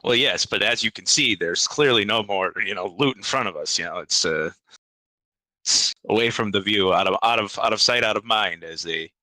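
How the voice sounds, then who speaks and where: Guy playing a tabletop game, very bright edgy voice, dry sound, joking casually. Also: lowish voice, normal speed, no echo, low-quality recording. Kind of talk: casual playful chat.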